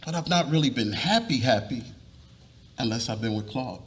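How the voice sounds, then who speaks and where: A man's voice: mid-range voice, lively intonation, normal speed, natural voice. A man performs an earnest husband and father in a dramatic reading. He speaks in a medium register, explaining himself and offering reassurance as he confesses.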